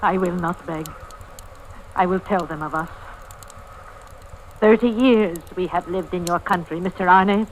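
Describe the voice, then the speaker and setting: An actress playing a frightened, loyal older wife, earnest and pleading, in a theatrical old radio drama. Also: female voice, on the low side, fairly bright tone. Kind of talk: 1940s-50s radio drama acting. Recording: noisy vintage radio recording.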